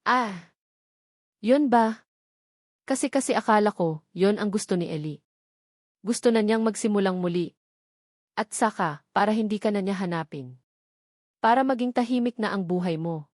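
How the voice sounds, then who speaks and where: A woman's voice: mid-range voice, moderate pace, long pauses, a little mellow, no echo, clean studio sound, lightly acted. A woman voicing an evasive man who poses as a caring friend in a storybook drama, explaining himself. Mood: defensive, evasive. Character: Nico, evasive male friend, falsely caring.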